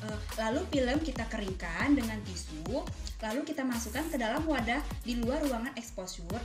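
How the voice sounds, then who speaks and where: A woman's voice: on the high side, lively intonation, normal speed. A young adult woman giving a polite, step-by-step instructional demonstration as a student. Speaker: dental student demonstrating a clinical procedure. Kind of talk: instructional skills demonstration with role-played patient dialogue. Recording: roomy echo, music behind.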